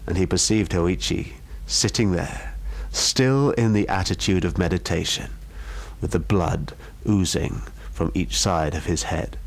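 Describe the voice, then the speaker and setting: A male storyteller with a fairly low voice reads a horror tale. His delivery is theatrical and deliberate, made for dramatic effect. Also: low-quality recording. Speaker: storyteller, guest performer.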